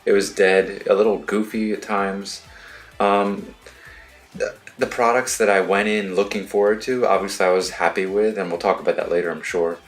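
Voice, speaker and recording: A man's voice: fairly low pitch, steady tone, normal speed, faint music behind. A man co-hosting a podcast, giving his opinions in casual conversation about games. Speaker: podcast co-host. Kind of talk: casual chat, video game discussion.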